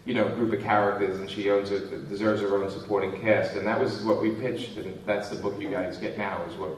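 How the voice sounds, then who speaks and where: A man talks in loose, rambling anecdotes on a convention panel. His voice sits on the low side. He is picked up from well off the mic in a very echoey room, with a faint hum underneath. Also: flat delivery, fairly quick, distant mic. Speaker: comic writer on a panel.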